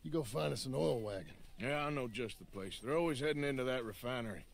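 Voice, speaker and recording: An actor playing a rough cowboy outlaw in a video game, talking in a gruff, dry drawl. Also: male voice, medium pitch, animated, quick pace, a little hiss or hum. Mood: scheming. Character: rough cowboy outlaw planning a train job.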